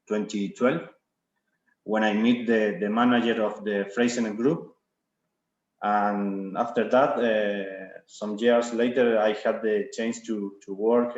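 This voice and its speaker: A man in his thirties, an Argentinian winemaker who speaks English with an Argentinian Spanish accent and a Spanish mother tongue, presenting haltingly with many fillers and restarts. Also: lowish voice. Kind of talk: hesitant video-call presentation. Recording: echoey lo-fi room audio.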